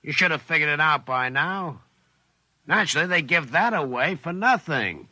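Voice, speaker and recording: A gruff American convict-laborer type from an old radio play, slangy and cynical. His voice is very sharp and forceful, with lively swings in pitch. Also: normal speed, no room sound, exaggerated voice. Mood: sardonic, matter-of-fact. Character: gruff, cynical male forced-labor quarry worker bossing a newcomer. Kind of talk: 1950s American radio drama acting. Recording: vintage 1950s radio recording.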